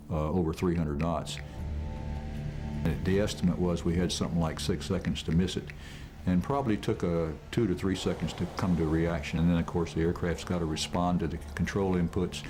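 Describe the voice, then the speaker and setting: A middle-aged man, an airline pilot, recounting his experience in an interview in a measured, matter-of-fact way. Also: deep voice.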